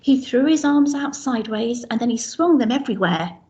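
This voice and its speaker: Expressive woman teacher reading aloud. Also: a little high, moderate pace, dull audio. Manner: expressive rhyming storytelling, pointing out pictures. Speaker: infant school teacher. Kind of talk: reading a picture book aloud to children.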